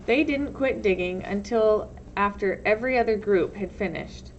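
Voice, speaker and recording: A woman's voice: medium pitch, normal speed. A woman reading a storybook aloud as narrator, expressively, like a teacher. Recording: dull lo-fi sound, faint noise.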